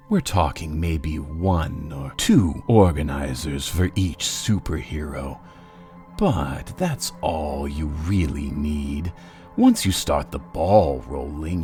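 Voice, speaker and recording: A man's voice: rumbling low, darkish tone, heavily acted. A man voicing a gloating, menacing, talkative supervillain in a comic book dub, with a taunting, condescending drawl. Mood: smug. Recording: faint music behind.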